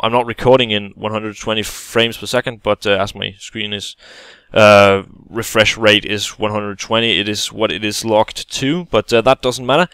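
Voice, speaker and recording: A man talks through a game tutorial in a relaxed, halting way, often pausing and restarting. His voice is smooth and clear. The recording is dry and close, with no room sound. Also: lowish voice, no room sound. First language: Danish. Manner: hesitant, many fillers and restarts, casual explaining. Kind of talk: gameplay tutorial voice-over.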